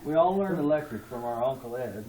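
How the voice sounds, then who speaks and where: A man's voice: mid-range voice, animated, brisk, noisy background. A man earnestly reading a rhyming tribute poem aloud at a party, as an amateur. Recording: echoey room, distant mic.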